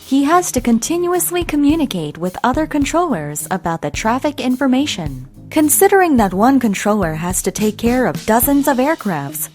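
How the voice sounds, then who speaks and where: Woman doing scripted explainer voiceover, kinda high pitch, very animated intonation, teachy w rhetorical questions. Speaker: narrator. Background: background music.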